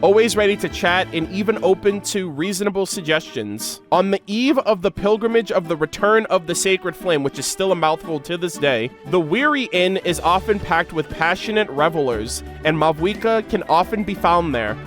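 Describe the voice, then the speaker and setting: A man's voice: high voice, lively intonation, normal speed, few pauses, fairly bright tone, faint music behind. A man doing casual reaction commentary and reading game lore aloud, as an enthusiastic fan who uses slang. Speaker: gaming reaction video creator.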